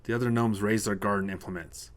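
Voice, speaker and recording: A man's voice: fairly low pitch, fairly even intonation, fast talker. A man reading a scripted short story as narrator, told in the present tense.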